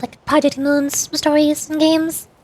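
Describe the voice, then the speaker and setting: A woman's voice: sits high, little pitch movement, a little slow, a little mellow. A woman streamer chatting casually over gameplay in a chatty, giggly way. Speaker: VTuber streamer.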